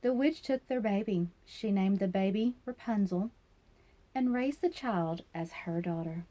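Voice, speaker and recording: A woman's voice: medium pitch, measured pace, warm tone, rough recording. A woman narrating a children's fairy tale as a storyteller, reading aloud expressively.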